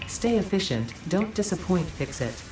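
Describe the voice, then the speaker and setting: Cold corporate AI. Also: female voice, fairly low pitch, normal speed, background noise. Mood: stern. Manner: flat, clipped announcements. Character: female corporate AI assistant, cold and strict. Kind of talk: in-game system announcement. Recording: roomy, distant in-game audio.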